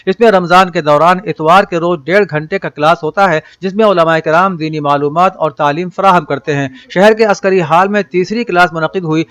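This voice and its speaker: A male news reader delivers a scripted bulletin. His voice sits a little high for a man, and the sound is dry and close. He reads formally, in measured phrases. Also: a bit bright, no echo.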